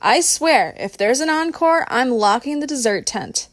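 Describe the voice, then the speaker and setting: A woman's voice: high voice, lively intonation, measured pace, no room sound, voice pushed up. A woman voicing a sardonic, easily embarrassed woman friend in an audiobook, making dry, wry asides. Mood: exasperated. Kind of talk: audiobook character voice.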